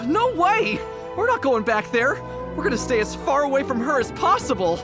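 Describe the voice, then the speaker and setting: A woman playing an excitable, sheepish young gang underling in a video game, with an earnest, pleading manner. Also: high voice, normal speed, over music. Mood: panicked, pleading. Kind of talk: English video game voice acting.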